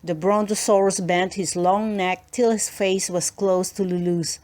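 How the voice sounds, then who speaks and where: A woman narrating a children's storybook as an expressive read-aloud. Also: medium pitch, unhurried.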